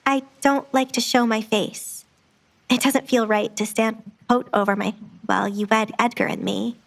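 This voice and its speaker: A woman's voice: a little high, steady tone, moderate pace, creaky, poor audio. Polite, determined young bride , voiced by a woman. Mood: shy, hopeful. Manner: courteous, earnest.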